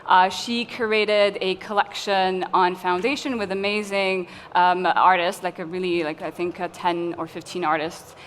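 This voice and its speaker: A woman's voice: lowish voice, steady tone, moderate pace, few pauses, a little hiss or hum. Woman from Tunisia, panel curator. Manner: friendly, hesitant with fillers. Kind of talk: conference panel talk. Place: conference hall. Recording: long hall reverb, faint ambience.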